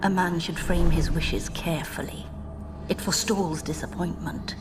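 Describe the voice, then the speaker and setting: An actress playing an aloof, sombre spirit servant in a video game, speaking in formal, measured, old-fashioned phrases. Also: female voice, fairly low pitch, steady tone, lightly acted. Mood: cool, sombre. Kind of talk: video game cutscene acting. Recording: music under the voice.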